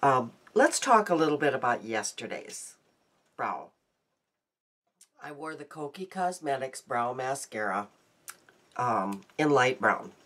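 A woman's voice: rumbling low, sing-song, slow pace, long pauses, a bit bright. A woman in her fifties chatting casually about her day and makeup in a rambling daily vlog, sounding congested, recorded on a close webcam in a small room at home. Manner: chatty, rambling, frequent ums. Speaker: beauty vlogger.

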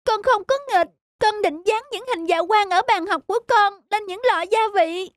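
A woman's voice: extremely high pitch, moderate pace, no room sound. A woman voicing an earnest, loving little girl in a cartoon, childlike and pleading. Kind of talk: dubbed cartoon dialogue.

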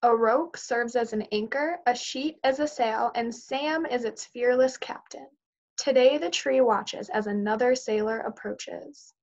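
A woman's voice: a little high, a little slow, darkish tone. A young woman, a teacher, reading a picture book aloud to children over a video call. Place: indoors, on a group video call. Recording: dull, muffled video call audio.

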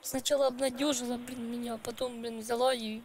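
A man's voice: very high-pitched, little pitch movement, a little fast, noisy background. A man voicing a whiny, stammering boy who makes a prank call and thinks he is special. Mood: sulky. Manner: stammering, sulky, petulant. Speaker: prank caller. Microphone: handheld mic.

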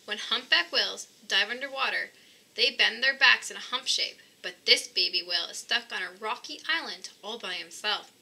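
A woman's voice: on the high side, normal speed, gravelly, bright, forceful tone, slightly rough, rough recording. A woman reading a children's picture book aloud expressively, as if to young listeners. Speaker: storybook reader. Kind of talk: children's read-aloud narration.

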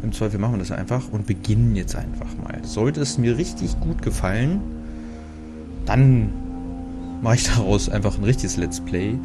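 Chatty German gamer over game music. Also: male voice, on the low side, expressive, normal speed. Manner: chatty, rambling, self-interrupting with fillers. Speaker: let's play host. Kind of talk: casual gameplay commentary.

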